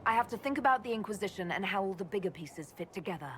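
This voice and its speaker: Game casting: actress playing stern female commander, medium pitch, measured and responsible, slight ambient noise behind. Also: animated, close to an everyday voice. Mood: reproving. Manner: measured, serious, reproving. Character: level-headed woman commander, stern and responsible leader. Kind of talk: video game voice acting.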